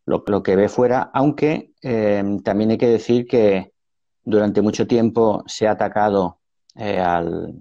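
A man's voice: on the low side, unhurried, vocal fry, dry recording, muffled recording. A man in his fifties with a Valencian Spanish accent, a painter answering interview questions hesitantly on a video call, often restarting his sentences. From Valencia, Spain. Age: 50s. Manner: hesitant, many restarts and fillers. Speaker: painter, guest. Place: room at home.

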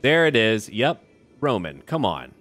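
A man's voice: lowish voice, big pitch swings, measured pace, fairly bright tone, faint music behind. A man giving in-character commentary on a strategy game he is playing, thinking aloud in short, clipped remarks as a ruler. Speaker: gaming video host.

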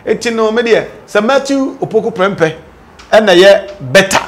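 A Ghanaian-accented man in middle age speaks in a high-set voice as a political commentator. He switches between languages, presses his points with questions and repeats phrases for emphasis. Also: lively intonation, moderate pace. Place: indoor studio set.

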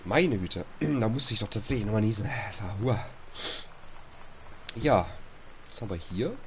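A man's voice: fairly low pitch, expressive, a little slow, a little hiss or hum. A young man with a stuffy nose chatting casually over gameplay, reading on-screen text aloud and grumbling at bugs. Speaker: let's play host.

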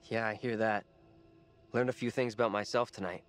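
A man's voice: on the low side, fairly even intonation, moderate pace, soft background music, natural voice. An actor playing a pushy young man in a horror game, talking in casual, slangy, urgent phrases. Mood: earnest. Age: young adult. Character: blunt, pushy college-age guy in a horror game. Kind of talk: video game cutscene drama.

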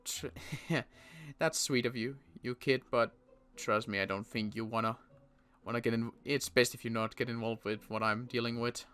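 Bright, clear-voiced young man roleplaying. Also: medium pitch, fairly even intonation. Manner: halting, many restarts, protective older-survivor tone. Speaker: roleplay series player. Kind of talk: in-character roleplay chat.

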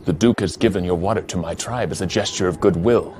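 An actor playing a contemptuous, menacing desert tribesman in a science fiction game, disdainful and deliberate. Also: deep voice, darkish tone, close to an everyday voice. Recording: faint background noise.

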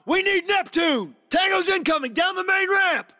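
A man's voice: very high-pitched, animated, normal speed, bright, forceful tone, full character voice. A man playing a hard-bitten military team leader in a video game, giving terse, commanding tactical orders and radio calls. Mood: urgent, shouting. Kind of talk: video game combat voice lines.

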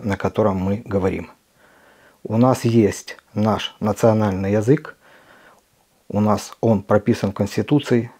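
A middle-aged man from Ukraine who runs a video blog. He gives an impassioned address straight to camera, speaking earnestly and emphatically with deliberate pauses. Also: fairly low pitch, a little mellow, husky. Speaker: video blogger.

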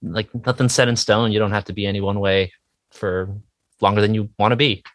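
A man with a fairly low voice chats casually in an interview. He is hesitant and self-deprecating, feeling his way through answers. The sound is fairly dry and close. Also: steady tone, fairly quick, a bit bright, no room sound. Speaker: musician guest, indie rock songwriter.

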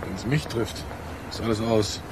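Ein Schauspieler spielt im Hörspiel einen niedergeschlagenen, verbitterten Arbeiter mit schlichter Redeweise. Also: male voice, lowish voice, a little slow, natural voice. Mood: downcast. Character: downcast, resentful working man fearing the sack. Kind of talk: radio drama acting. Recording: loud outdoor urban background noise.

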